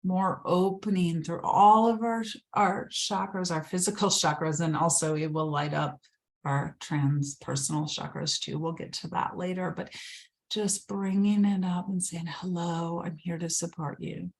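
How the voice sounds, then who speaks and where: Low, gravelly woman guiding meditation. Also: fairly even intonation. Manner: slow, soothing, drifts into whispers. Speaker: meditation guide. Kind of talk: guided meditation.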